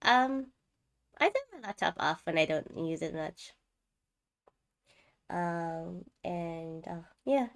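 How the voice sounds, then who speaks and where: Woman, game streamer, slightly low voice, slow pace, casual rambling livestream chat, thinking aloud. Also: sing-song.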